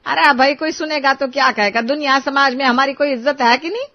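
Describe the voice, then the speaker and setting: A woman's voice: high-pitched, fairly quick, lo-fi sound, pitched above the performer's own voice, close to an everyday voice. A woman voicing a proud, scandalized elderly mother-in-law who scolds, laments and taunts in a story. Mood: shocked, scolding.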